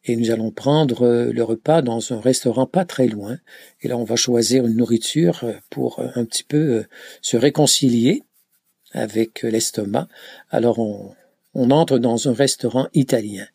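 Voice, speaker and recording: A man recounting his pilgrimage in a slow, hesitant way, full of filler sounds and restarts. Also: medium pitch, gravelly, warm tone, breathy edge, dry recording. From Canada. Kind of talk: reflective travel account.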